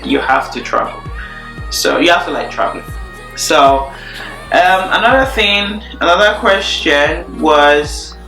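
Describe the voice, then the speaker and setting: Chatty woman, bright echoey voice. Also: low voice. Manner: chatty, relaxed, laughs easily, repeats words for emphasis. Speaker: YouTuber. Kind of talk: casual Q&A vlog. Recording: echoey room, faint music.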